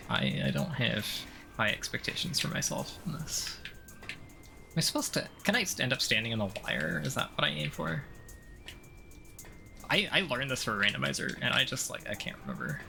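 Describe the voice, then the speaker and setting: Gamer guy thinking out loud, gravelly fry in his voice, kinda mumbly and unsure, game music behind him. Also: medium pitch, animated, normal speed. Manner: muttering, self-deprecating, hesitant, mildly sweary. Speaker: gaming streamer playing through a game. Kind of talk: casual gameplay commentary, thinking aloud.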